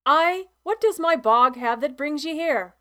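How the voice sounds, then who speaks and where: A woman doing a gruff old Scottish farmer. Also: high-pitched, expressive, a little slow, sharp tone, voice pushed up, heavily acted. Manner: gruff, exasperated, blustery.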